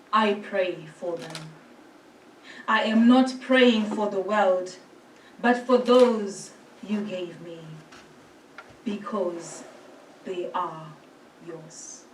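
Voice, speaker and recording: A woman from Zimbabwe, a priest giving a formal address and reading scripture in a measured, gentle way. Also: mid-range voice, a little hiss or hum. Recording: roomy hall sound from a distant mic.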